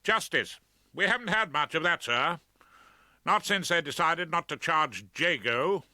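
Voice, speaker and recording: Man acting a higher-pitched, polite young British gentleman. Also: expressive, a little slow, dry recording, heavily acted. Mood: politely aggrieved. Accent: British English. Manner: modest, inoffensively charming, deferential. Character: polite, aggrieved young public-school man, bereaved brother.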